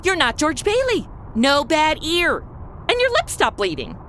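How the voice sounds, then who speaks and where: A woman's voice: high voice, wildly swooping pitch, unhurried, fairly bright tone, slight background noise, heavily acted. A woman playing a sassy, flippant young guardian angel in a comedy audio drama, talking in blunt, sarcastic modern slang. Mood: gleeful.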